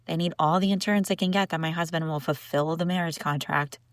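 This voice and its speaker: A woman's voice: deep voice, steady tone, fast talker, no echo, lightly acted. Haughty lovesick young princess, acted by a woman, close and dry. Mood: indignant, proud. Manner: teasing, indignant, sighing, breaking off mid-sentence. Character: haughty young princess bride, sharp-tongued, secretly lovesick. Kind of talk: intimate scripted audio roleplay addressed to listener.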